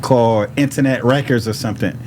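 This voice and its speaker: A man's voice: medium pitch, fairly quick, slight background noise. A man from Harlem with a New York accent, telling stories casually in street slang with plenty of swearing. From New York City (Harlem). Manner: animated street slang, profane, quotes dialogue. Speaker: podcast guest, rapper. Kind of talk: casual storytelling in conversation.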